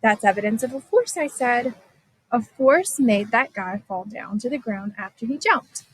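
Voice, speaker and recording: A woman reading a children's science story aloud as scripted narration, expressive and clearly phrased. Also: a little high, normal speed, low-quality recording. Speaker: storybook reader.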